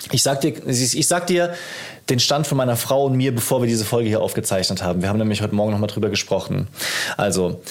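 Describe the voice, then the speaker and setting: A man's voice: medium pitch, darkish tone. A man in his thirties talking casually as a podcast host, explaining his thoughts in a reflective, conversational way. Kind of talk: casual chat between two dads.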